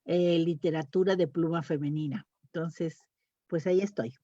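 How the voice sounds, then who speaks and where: A woman's voice: deep voice, fairly even intonation, normal speed, mellow, no room sound, highs cut off. A woman chatting casually as a panelist in a round-table talk. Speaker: panelist talking about reading habits.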